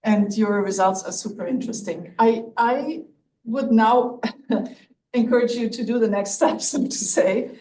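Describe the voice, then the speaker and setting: A woman's voice: on the high side, measured pace, dark tone. A woman from Germany with a German accent, making a hesitant, roundabout and polite academic comment as an audience member. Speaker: visiting fellow, audience questioner. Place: lecture room audience. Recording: distant mic, faint room noise.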